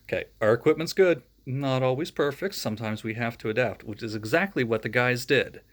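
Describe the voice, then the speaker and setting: A man's voice: mid-range voice, fairly quick. A man reading a children's storybook aloud, breaking off for friendly, joking asides to young listeners. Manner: friendly, chatty asides between read passages.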